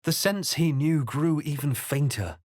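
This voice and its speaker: A man voicing a grave, watchful, wry spellcasting adventurer hero in a video game, with a measured, dramatic delivery. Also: fairly high pitch, darkish tone, no room sound, studio quality, close to an everyday voice. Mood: somber, tense. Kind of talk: video game voice lines, dramatic narration and incantations.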